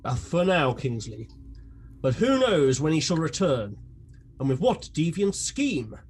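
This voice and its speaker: Befuddled detective, male actor, radio comedy: dry, hesitant, very animated intonation. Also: fairly high pitch, measured pace, slight background noise. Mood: dry, muddled. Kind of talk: comic radio drama acting.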